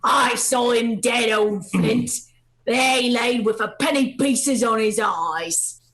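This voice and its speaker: A woman's voice: on the high side, slow, a bit bright. A woman playing a jittery, superstitious pirate sailor with rustic speech in a radio play. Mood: hushed, grim. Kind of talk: live radio play acting.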